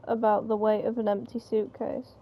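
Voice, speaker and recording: A woman with a creaky voice reading a story script aloud informally, stumbling a little over the lines. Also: mid-range voice, little pitch movement, normal speed. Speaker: reader of the narration. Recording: slightly muffled mic, faint background noise.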